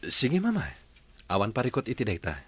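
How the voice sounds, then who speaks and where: A man's voice: medium pitch, huge pitch swings, brisk, husky. A man with a Filipino Ilocano accent playing an earnest, dutiful husband and businessman son in a radio drama. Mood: firm.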